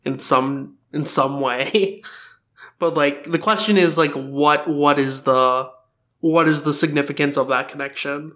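The sharp, slightly high-voiced guy at a fan roundtable podcast who explains how it all fits together. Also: steady tone, measured pace. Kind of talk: casual fan discussion.

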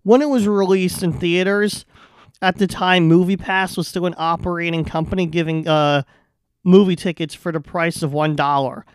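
A man with a fairly high, smooth voice hosts a casual solo podcast. He rambles and stumbles as he tells stories like a stand-up off stage. The sound is dry and close. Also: steady tone, normal speed, no echo. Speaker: podcast host and stand-up comedian.

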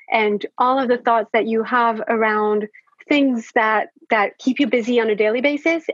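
A woman from France, a life coach speaking English as a guest, thoughtful and measured with pauses. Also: on the high side, husky, dry recording, dull audio. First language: French. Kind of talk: podcast interview.

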